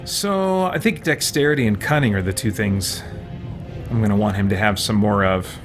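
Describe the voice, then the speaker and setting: A man's voice: lowish voice, moderate pace. A man hosting a gaming stream, chatting casually and thinking aloud as he plays and answers viewers. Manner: thinking aloud, musing to himself. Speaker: host of a gaming let's play stream. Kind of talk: casual live gameplay commentary, answering viewer questions. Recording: game music behind the voice. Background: video game music.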